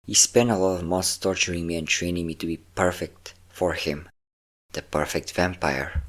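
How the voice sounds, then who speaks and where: A man's voice: deep voice, fairly even intonation, moderate pace. A man playing a centuries-old, possessive and doting vampire captor in an intimate audio roleplay, coaxing and teasing his listener. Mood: coaxing, controlling.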